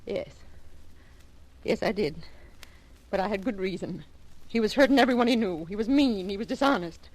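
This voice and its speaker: An actress playing a proud, polite elderly mother with a dark secret in an old-time radio detective drama. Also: medium pitch, expressive, normal speed, pauses often, lightly acted, rough recording. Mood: anguished, defiant. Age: older. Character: proud elderly mother, a murderer, defiant and wounded. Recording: vintage radio show recording.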